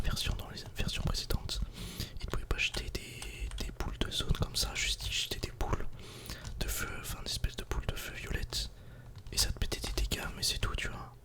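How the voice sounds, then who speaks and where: A man softly whispering casual, informal ASMR commentary while playing a video game. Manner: soft-spoken, casual, informal.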